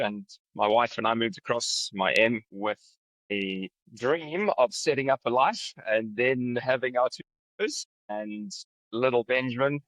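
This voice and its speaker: A man's voice: mid-range voice, fairly bright tone, no echo, poor audio. A South African man in his thirties, a podcast guest telling his story in an easygoing way. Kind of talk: casual interview.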